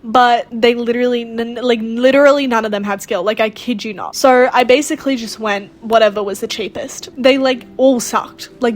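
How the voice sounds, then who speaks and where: A woman giving casual gameplay commentary in a sarcastic, exasperated, complaining way. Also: fairly high pitch. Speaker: gaming video host.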